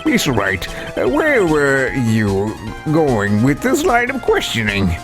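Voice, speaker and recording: A performer voicing a stern but easily puzzled elderly male judge in a courtroom game, deliberate and questioning. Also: medium pitch, huge pitch swings, music in the background, pitched below the performer's own voice, full character voice. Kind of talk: courtroom video game voice acting.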